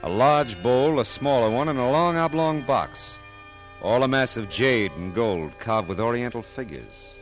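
An actor playing a wry, world-weary private detective who narrates and talks in a deadpan radio drama style. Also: male voice, mid-range voice, a little slow, faint music behind, natural voice. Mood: brooding narration. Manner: deadpan, hesitant asides, dry wit.

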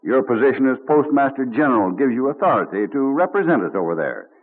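A man's voice: mid-range voice, very animated, quick pace, breathy edge. A man playing a formal colonial assembly delegate in an old-time radio drama, earnest, respectful and persuasive. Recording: narrow, muffled old radio recording.

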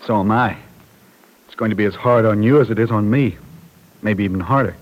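An actor playing a tired, considerate father with measured, polite speech in an old radio soap opera. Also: lowish voice, lightly acted, poor audio. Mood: resigned. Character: weary, considerate, well-spoken father.

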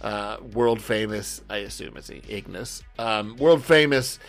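A man's voice: lowish voice, unhurried, faint music behind. A male podcast co-host chatting casually, enthusiastic and rambling, swearing freely. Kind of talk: casual book-club style chat.

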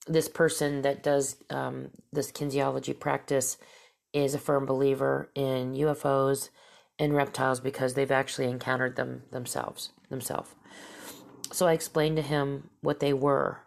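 A woman's voice: very deep voice, flat delivery, normal speed, vocal fry, darkish tone. A woman hosting a talk show, whose stuffy, nasal voice rambles casually through stories and asides. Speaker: podcast host. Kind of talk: casual storytelling monologue.

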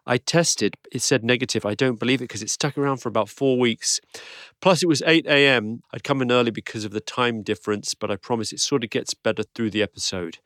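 A man with a croaky voice hosting a podcast, introducing his guest from a studio with dry self-deprecating humor. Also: medium pitch, a little fast, a bit bright, no echo. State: croaky voice from illness. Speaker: podcast host.

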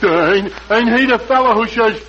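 An actor playing a dim-witted, slow-thinking tavern regular with a halting, dopey manner in 1940s radio comedy. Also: male voice, very high voice. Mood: earnest. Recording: noisy muffled old radio broadcast.

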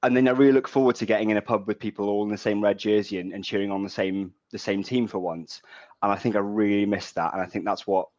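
A man's voice: lowish voice, fairly even intonation, fast, a little mellow, no room sound, highs cut off. A man from Wales speaking as a podcast guest, reflective and hesitant, often restarting his phrases. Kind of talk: casual podcast chat.

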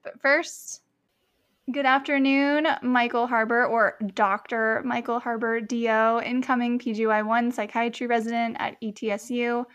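A woman's voice: on the high side, little pitch movement, measured pace, a bit bright. A woman in her twenties hosting a podcast interview, friendly and upbeat, talking casually with fillers like "like" and "you know". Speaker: podcast host.

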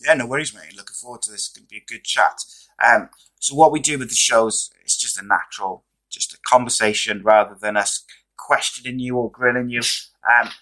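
Hoarse guy hosting a podcast, bright voice, chatty and laid back, lots of ums and mates. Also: mid-range voice, brisk, low-quality recording. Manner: relaxed, chatty, friendly with fillers. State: losing his voice. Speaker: podcast host. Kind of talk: casual podcast chat. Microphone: podcast microphone.

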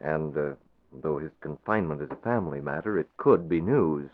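A composed newspaper publisher who questions with quiet, pointed precision. The voice sits low and measured in classic 1940s radio-drama delivery. The old recording is thin and tinny, like a telephone line. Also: male voice, expressive. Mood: probing. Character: cool, probing male newspaper publisher.

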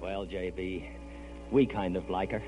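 A man's voice: deep voice, fairly even intonation, unhurried, over loud music, rough recording. An actor playing a mild, easygoing middle-aged father and employee in a 1940s radio comedy, with a dry, bemused manner. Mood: fond. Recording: hissy vintage radio broadcast.

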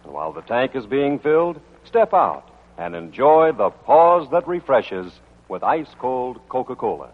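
Tinny vintage radio announcer, lively male voice. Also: mid-range voice, measured pace. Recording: tinny band-limited old radio, faint music.

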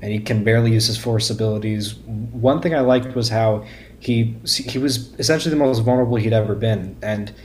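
A man's voice: lowish voice, fairly quick, a little hiss or hum. A man in a casual podcast discussion, stammering and repeating words as he searches for his phrasing. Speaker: podcast guest or panelist discussing a book.

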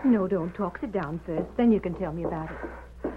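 A woman's voice: lowish voice, normal speed, noisy background, natural voice. An American actress playing an anxious, devoted frontier wife and mother, fretful and pleading, in old-time radio style. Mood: alarmed. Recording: muffled narrowband vintage radio recording.

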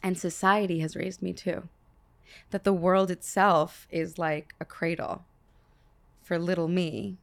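A woman's voice: lowish voice, flat delivery, a little slow, gravelly. A woman in her thirties hosting an interview, musing aloud in a casual way and stammering a little as she begins her thoughts. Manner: warm-hearted, musing, stammers at starts. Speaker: podcast host.

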